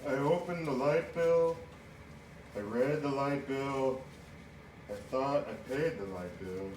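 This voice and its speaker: A man's voice: mid-range voice, takes it slowly, a little mellow, lightly acted. A man voicing a goofy, forgetful puppet space crewman with a folksy, dutiful manner in a children's church show. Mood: sheepish. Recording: echoey room, distant mic, faint noise.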